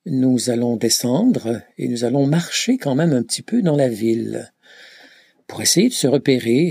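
Male pilgrim, slow, husky and gravelly, stop-start telling, dry close sound. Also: medium pitch, a little mellow, dry recording. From Canada. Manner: hesitant, many filler sounds and restarts. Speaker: pilgrim recounting a journey. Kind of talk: reflective travel account.

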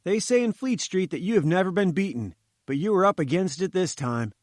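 A man's voice: high voice, animated, fairly quick, no room sound, studio quality, voice pushed up. A man doing a breezy young journalist. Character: brisk young male journalist.

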